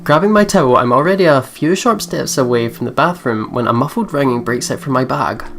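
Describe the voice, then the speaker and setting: A woman's voice: a bass voice, animated, a little fast. A young woman reading game text aloud with casual let's play commentary. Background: soft game music.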